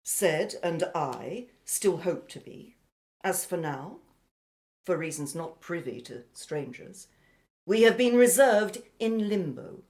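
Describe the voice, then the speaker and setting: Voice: medium pitch, a little mellow. An actor playing a precise, haughty, educated foreign exile in a radio play, with formal, pedantic phrasing. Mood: measured.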